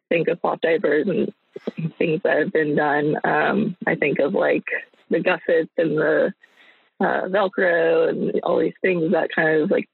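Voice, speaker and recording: Woman on a thin phone-like line, creaky voice. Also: low-pitched, no room sound. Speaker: guest, small business owner. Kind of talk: casual interview conversation.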